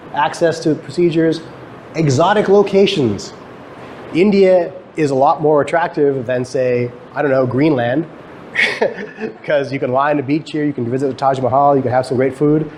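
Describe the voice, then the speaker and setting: Man lecturing over clear background noise. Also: fairly high pitch, a little mellow. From Guyana, emigrated to Canada. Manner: conversational, asks and answers own questions. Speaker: lecturer, academic researcher. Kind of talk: lecture to students, explanatory with questions to the class. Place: lecture room, talk to students. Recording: clearly noisy live lecture recording.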